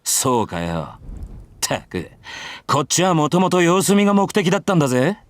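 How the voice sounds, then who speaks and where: A man playing a cocky, battle-hungry warrior in a Japanese visual novel, with rough, taunting, informal speech. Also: fairly high pitch, big pitch swings, quick pace. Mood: irritated.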